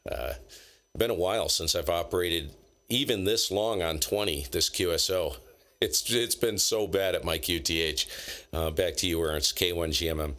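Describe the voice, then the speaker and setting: A man's voice: low-pitched, normal speed, a bit bright. A man chatting casually over ham radio, enthusiastic and full of call signs and gear talk. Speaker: ham radio operator, channel host. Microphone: station microphone.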